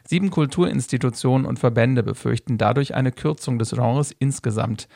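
A man narrating a scripted radio documentary in a measured, factual way. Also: mid-range voice, vocal fry, mellow, dry recording, studio quality.

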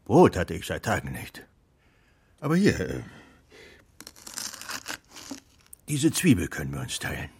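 An actor in a children's radio play playing a humble, good-natured old puppet maker who speaks hesitantly, muttering interjections. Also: male voice, sits low, huge pitch swings, moderate pace, vocal fry, darkish tone, slightly rough, exaggerated voice. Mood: welcoming.

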